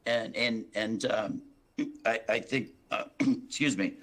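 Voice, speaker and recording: A hoarse man presenting his building plan to a town planning board, rambling with many restarts and fillers. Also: on the low side, fairly even intonation, takes it slowly. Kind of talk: public meeting testimony.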